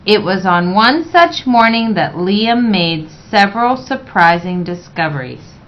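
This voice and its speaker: Female storyteller, mid-range pitch, picture-book read-aloud, measured expressive delivery, slightly dull lo-fi audio. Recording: dull, lo-fi sound.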